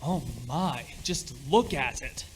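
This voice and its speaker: A sociable, middle-aged father acted by a man in an amateur radio drama. His voice sits a bit high for a man, and he talks in affable, old-fashioned phrases over a faint hiss. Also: animated, slow. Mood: curious. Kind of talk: amateur radio play acting.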